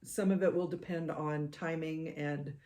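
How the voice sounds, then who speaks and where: A middle-aged woman co-hosting a podcast in casual chat, with a soothing, measured way of talking. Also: low voice, steady tone, mellow. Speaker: podcast co-host.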